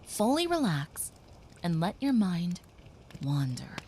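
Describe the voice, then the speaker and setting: A woman voicing a gentle young female vampire who soothes a human listener, speaking softly and often in a whisper, in an audio roleplay. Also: fairly low pitch, big pitch swings, takes it slowly, a little hiss or hum. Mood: soothing.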